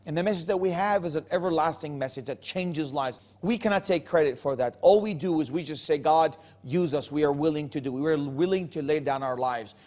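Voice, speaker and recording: Higher-pitched man, earnest and emphatic. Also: a little fast, hardly any pauses, a little mellow. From Jerusalem and Bethlehem region. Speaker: pastor being interviewed. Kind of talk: earnest interview testimony.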